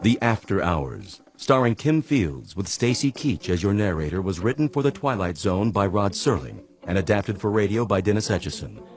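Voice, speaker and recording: A man's voice: low voice, a little mellow. A man working as an announcer, reading scripted radio drama announcements. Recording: faint music behind the voice.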